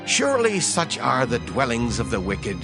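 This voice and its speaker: A man's voice: medium pitch, wildly swooping pitch, normal speed. An actor playing a man warning of the fate of the wicked in a dramatized scripture reading, solemn and grave. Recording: music bed under voice.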